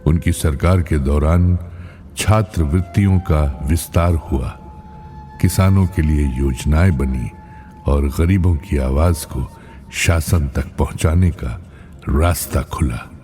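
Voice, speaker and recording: A man reading a scripted tribute as a spokesperson, solemn, measured and reverent, with deliberate pauses. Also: rumbling low, warm tone. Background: music.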